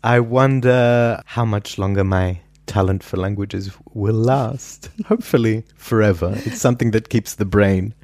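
A man, a historian answering interview questions in a thoughtful, measured way with many pauses. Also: on the low side, darkish tone, no room sound. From Australia. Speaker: historian guest.

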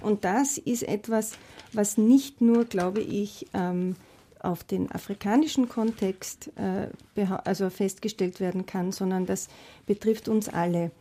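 A woman researcher of multilingualism, speaking fluently and in measured, academic terms on a panel. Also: medium pitch, dark tone. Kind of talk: panel discussion.

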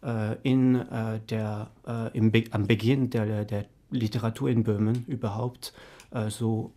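Slow, halting man from France, dark gravelly voice. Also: on the low side, flat delivery. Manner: halting, many fillers and restarts. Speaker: interview guest, doctoral researcher. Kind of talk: academic interview.

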